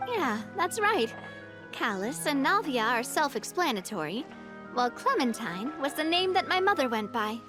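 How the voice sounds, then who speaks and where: A woman's voice: sits high, very animated, normal speed, music in the background. A woman playing a cheerful, confident young lady leader in a video game, easygoing and frank. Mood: matter-of-fact.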